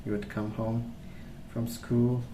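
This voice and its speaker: A young man rambling in a gentle, soft-spoken ASMR style, musing aloud without hurry. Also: medium pitch, little pitch movement, takes it slowly. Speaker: ASMR video creator. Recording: echoey room, clear background noise.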